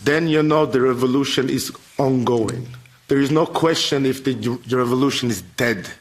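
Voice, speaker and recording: A man's voice: mid-range voice, normal speed. A man from Iran, a member of parliament, giving an earnest, emphatic address at a formal event, with phrasing.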